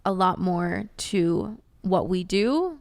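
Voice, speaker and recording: A woman's voice: lowish voice, expressive, takes it slowly, gravelly, darkish tone. A woman hosting a podcast in casual, unscripted chat, explaining her points in an earnest, conversational way. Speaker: podcast host.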